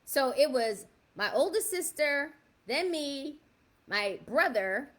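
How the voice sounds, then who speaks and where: A woman's voice: sits high, lively intonation, slow, fairly bright tone. A woman in her fifties, raised in the South Bronx, hosting a casual livestream and talking straight to her viewers, often checking in with a "right?". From South Bronx, New York. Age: 50s. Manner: chatty, keeps asking viewers "right?". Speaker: livestream host.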